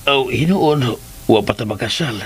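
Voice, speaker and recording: A man playing a devoted, gentle middle-aged partner in a radio drama, coaxing and expressive as he talks. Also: mid-range voice, normal speed, slightly rough. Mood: tender, reassuring. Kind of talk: Philippine radio drama acting. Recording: radio drama with music bed. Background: music.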